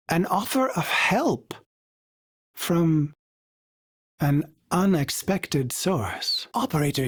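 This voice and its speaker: A man's voice: breathy edge, no echo, studio quality, heavily acted. A man voicing a devoted, fussy male ship AI who speaks formally and calls himself by name in the third person. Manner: sudden jumps in pitch and speed, fussy and excitable. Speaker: game character voice. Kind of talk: video game voice lines.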